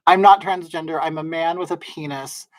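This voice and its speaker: A man with a soft, feminine-sounding voice, rambling casually to his livestream viewers with lots of fillers and restarts. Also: on the high side, steady tone, unhurried, no echo. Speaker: livestream host. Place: at home in drag, wig on.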